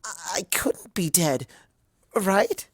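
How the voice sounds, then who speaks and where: A man's voice: sits high, big pitch swings, takes it slowly, breathy edge, no room sound, clean studio sound, voice pushed up, heavily acted. A man voicing a young female pony student, frightened and pleading, with a stammering, tearful delivery. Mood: anxious disbelief.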